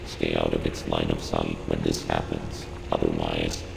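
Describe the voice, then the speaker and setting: A man voicing a calm artificial intelligence advisor in a video game, with formal, measured, clinical briefings and a synthetic robotic effect. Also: rumbling low, vocal fry, heavily acted. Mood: clinical, cautionary. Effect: synthetic robotic computer voice processing. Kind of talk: scripted game briefing narration. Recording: echoing long reverb, loud ambient noise.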